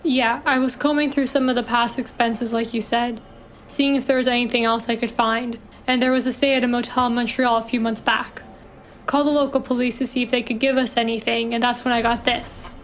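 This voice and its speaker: A woman's voice: a little high, level tone, brisk, close to an everyday voice. An actress playing a matter-of-fact police investigative assistant reporting her findings in an audio drama.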